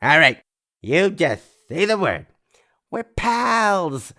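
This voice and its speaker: An actor playing a boozy, chummy, boastful socialite in an old-movie style audio drama, rambling and slangy. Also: male voice, sits high, very animated, very slow, pushed and bright, full character voice.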